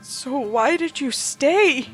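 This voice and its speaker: A woman's voice: high voice, lively intonation, takes it slowly, soft background music. A woman voicing a frightened, desperate newcomer to a hellish afterlife in a horror story, pleading and emotional. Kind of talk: horror story character acting.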